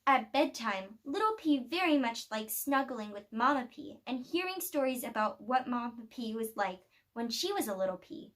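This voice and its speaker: A woman reading a children's picture book aloud, telling the story expressively with deliberate pauses. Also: on the high side, expressive, a bit bright. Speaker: storybook reader.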